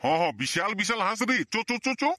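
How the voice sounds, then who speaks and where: Man as a fast, grumbling cartoon village father. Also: high-pitched, sharp tone, no echo, clean studio sound. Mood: casual. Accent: Purulia Bengali. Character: exasperated village father haggling at market. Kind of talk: comedy cartoon dialogue.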